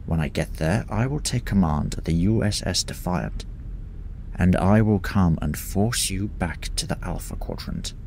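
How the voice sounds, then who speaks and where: Man playing an imperious, gravelly villainous officer. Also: deep voice, big pitch swings, a little mellow, slight background noise, full character voice. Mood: coldly threatening. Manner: stern, deliberate. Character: menacing, imperious male covert-agency superior officer. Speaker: actor in a science-fiction fan drama. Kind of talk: dramatic dialogue.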